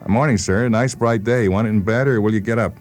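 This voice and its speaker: A man voicing a brisk, cheerful hospital attendant in a dramatized story reading. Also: fairly low pitch, darkish tone, soft background music, voice pushed up, natural voice.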